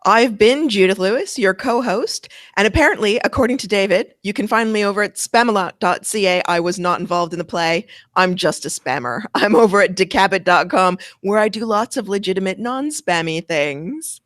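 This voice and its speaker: A woman's voice: medium pitch, normal speed, hardly any pauses, a bit bright, no room sound, clean studio sound. A woman with a Canadian accent, co-hosting a marketing podcast in a joking, chatty manner. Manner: joking, laughs often. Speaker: co-host. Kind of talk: casual podcast chat.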